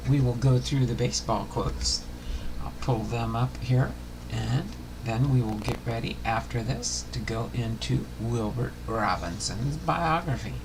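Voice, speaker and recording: A man hosting a casual livestream, chatting and reading aloud in a halting, unpolished way. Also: mid-range voice. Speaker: livestream host. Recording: clearly noisy room recording.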